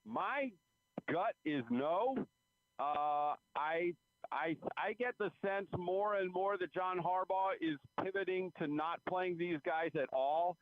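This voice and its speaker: A man giving measured, explanatory sports analysis as a beat writer guest, over a tinny phone line. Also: sits high, dry recording. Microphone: phone call.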